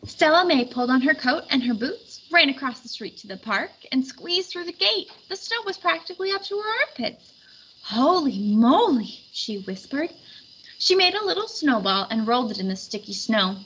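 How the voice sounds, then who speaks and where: A woman reading a children's picture book aloud with expressive storytelling. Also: high-pitched, normal speed, muffled recording, a little hiss or hum. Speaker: storybook reader.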